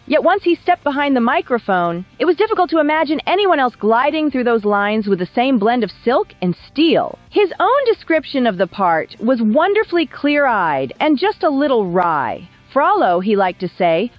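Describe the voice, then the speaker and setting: High, animated woman narrating over music. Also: moderate pace, hardly any pauses, no room sound, lo-fi sound. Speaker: documentary narrator. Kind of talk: scripted narration of a biography video. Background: background music.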